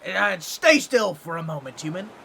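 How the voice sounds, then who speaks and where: A man's voice: sits high, lively intonation, moderate pace, gravelly, sharp tone, slight background noise, exaggerated voice. A man voicing a boastful, scheming octopus merman with a grating, nasal, squawky voice and a pompous, awkward manner in a comedic audio roleplay. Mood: flustered, awkward. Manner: theatrical, pompous, fumbling with awkward asides.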